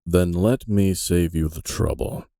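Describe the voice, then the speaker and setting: Weary old fantasy detective: rock-bottom pitch, slow, sardonic and resigned. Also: male voice, warm tone, no echo. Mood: bitter resignation. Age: older. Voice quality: gravelly. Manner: dry, weary, halting. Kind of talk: fantasy audio drama acting.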